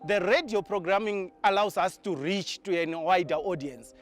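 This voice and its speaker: A man's voice: high-pitched, moderate pace. A man with a Zimbabwean accent explaining project work in an earnest, formal way. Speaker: project team member. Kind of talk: interview explanation. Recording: music under the voice.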